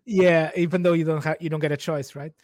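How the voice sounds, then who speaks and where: A man in his forties from Portugal, with Portuguese as his first language, speaks in a slightly high voice. He talks informally and ramblingly as a podcast guest, close and dry on the mic. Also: steady tone, fairly quick, darkish tone, no echo. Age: 40s. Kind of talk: casual personal chat.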